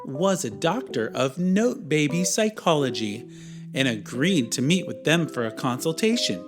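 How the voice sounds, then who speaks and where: A man's voice: fairly high pitch, big pitch swings, measured pace. A man who teaches music, narrating a story for young children.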